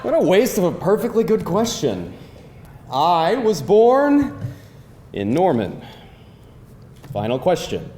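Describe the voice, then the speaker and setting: A man plays a cocky, playful man in a live script read, bossing and teasing like a mock attorney. His pitch is high and swings widely, at an unhurried pace. The room is echoey. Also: wildly swooping pitch, dark tone, slight background noise, full character voice. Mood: cocky, matter-of-fact. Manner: bossy, teasing game-show delivery. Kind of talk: live screenplay table read. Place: indoor room before a live audience.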